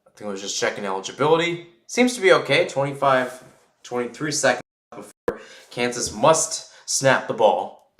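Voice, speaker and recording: A man's voice: medium pitch, animated, moderate pace, fairly bright tone. A man giving live American football play-by-play, talking conversationally and thinking aloud between plays. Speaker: play-by-play sports commentator. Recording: roomy, echoing sound.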